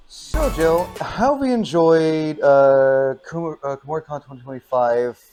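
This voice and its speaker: A man hosts a casual convention interview. He asks his questions haltingly, stopping and starting over. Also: a little high, animated, a little mellow, soft background music.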